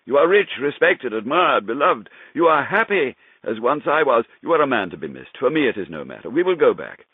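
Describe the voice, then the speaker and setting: A male actor plays a smooth-tongued, vengeful nobleman in an old-time gothic radio drama. He is solicitous and flattering, stressing words with big swings of pitch. The sound is husky and squeezed through a phone-like radio band. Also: brisk, no room sound. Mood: insistent flattery.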